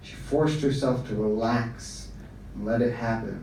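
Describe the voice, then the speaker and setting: A man giving a live reading of a short story at a bar event, in a measured, even storytelling manner. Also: mid-range voice. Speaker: story reader. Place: bar event room, indoors. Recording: echoey room from far off, faint noise.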